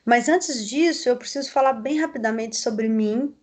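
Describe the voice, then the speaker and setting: A woman with a Mineiro accent answering interview questions over a video call, explaining things in a measured way with frequent tag questions. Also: fairly high pitch, a little mellow. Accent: Mineiro Brazilian Portuguese. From southern Minas Gerais, Brazil. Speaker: coffee growers' association representative.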